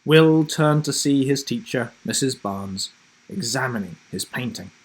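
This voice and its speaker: A man chatting and reading a story to children as host of a live broadcast, speaking deliberately in short emphatic phrases. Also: mid-range voice, animated, husky, rough recording. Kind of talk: friendly instructional chat and storybook reading for children.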